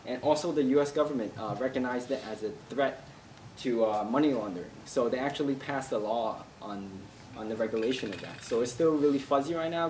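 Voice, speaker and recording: A man's voice: mid-range voice, slight background noise. Man presenting from across a room. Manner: hesitant, many fillers. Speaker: meetup presenter. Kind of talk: casual tech talk. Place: office meeting room with audience. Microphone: far camera. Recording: roomy sound from far off.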